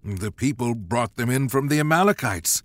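A man's voice: medium pitch, animated, normal speed, no echo, pitched above the performer's own voice, close to an everyday voice. A man voicing a proud, blustering, insecure ancient king who swings between pride and pleading. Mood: nervous. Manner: loud and wavering, shifting between pride and pleading. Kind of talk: storybook drama reading.

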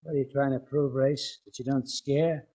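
An actor playing a cool, menacing gangster boss with a husky voice in 1940s radio drama. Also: male voice, mid-range voice, fairly even intonation, normal speed, mellow, close to an everyday voice. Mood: coolly menacing. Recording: muffled old radio, off mic.

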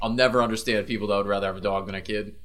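A man's voice: on the low side, fairly even intonation, very fast, crowd noise. Laid-back man arguing a point. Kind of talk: casual chat.

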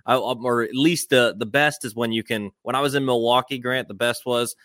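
A man's voice: medium pitch, fairly even intonation, fairly quick, a bit bright, no room sound. Guy co-host on a baseball pod, mid-range voice, casual, really dry recording. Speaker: sports podcast co-host. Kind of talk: casual sports chat.

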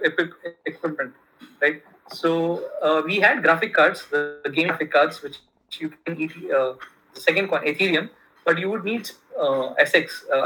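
Guy from india, kannada first language, thirties, kinda high bright voice, lots of uh and restarts. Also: slightly rough, low-quality recording. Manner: rambling with many fillers and restarts. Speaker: guest telling a business story. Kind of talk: casual conversation.

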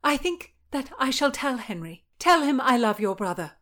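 Woman voicing high-pitched, impassioned young Tudor lady Anne Boleyn. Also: very animated, moderate pace, fairly bright tone. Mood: defiant. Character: Anne Boleyn, frightened, defiant, passionate young pregnant lady. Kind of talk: period audiobook character voice.

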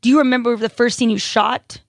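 A woman's voice: a little high, steady tone, a little fast, gravelly, no echo, clean studio sound. A woman in her thirties hosting a podcast, chatting casually and laughing with slangy asides. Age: 30s. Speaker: podcast host. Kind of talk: casual chat.

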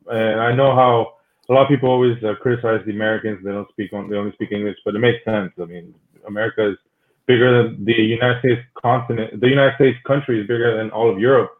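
A man whose first language is Spanish, talking in an easygoing way as a guest in a casual podcast interview. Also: mid-range voice. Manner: talkative, easygoing. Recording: tinny phone-like line.

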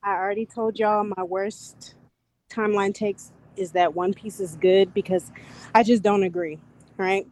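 A soft-spoken woman from Houston, calling into a casual online chat and giving her opinions bluntly and without apology. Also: medium pitch, steady tone, measured pace, rough recording. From Houston, Texas. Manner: blunt, unbothered, self-assured. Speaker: guest caller. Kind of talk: casual audio chat room banter.

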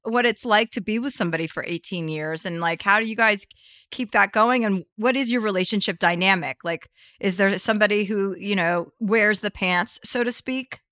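A woman hosting a talk podcast in a loose, joking way. Her voice is bright and forward, and the sound is dry and close with no room around it. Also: medium pitch, normal speed, no echo. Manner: joking, chatty. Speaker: podcast host. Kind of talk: casual chat. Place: rented house, on a trip.

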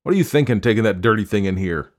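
Audiobook husband, played by a male narrator: domineering, irritable germophobe, emphatic syllables, swinging pitch. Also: animated, brisk. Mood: bossy, agitated. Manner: shouting, hitting syllables hard. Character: angry, germ-phobic, domineering husband.

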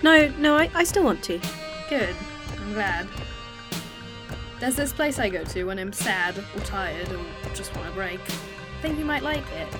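A woman's voice: mid-range voice, lively intonation, unhurried, music in the background, lightly acted. An actress playing a wry, outspoken woman aboard a train in a sci-fi audio drama, speaking casually. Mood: casual, friendly.

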